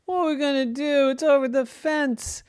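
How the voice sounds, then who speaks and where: A woman voicing a scared, excitable little girl who whines, pleads and stammers. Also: high voice, normal speed, no echo, studio quality, pitched above the performer's own voice, natural voice. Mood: scared, whiny. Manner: whiny, pleading, stammering when frightened.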